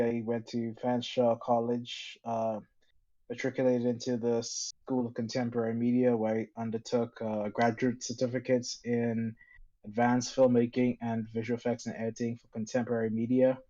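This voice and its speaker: A man talks as a guest filmmaker on a podcast. His voice is mellow and warm, and he speaks in a measured, slightly formal way with fillers. The recording is a little dull and lo-fi. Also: mid-range voice, flat delivery. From Bahamas. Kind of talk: casual podcast chat.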